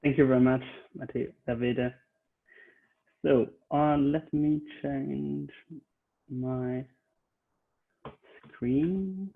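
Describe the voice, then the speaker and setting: Man from Switzerland, recruiter presenting from home, halting delivery full of fillers, thin phone-like audio. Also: mid-range voice, fairly even intonation, gravelly. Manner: hesitant, many fillers and restarts. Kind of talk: online conference presentation. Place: home office.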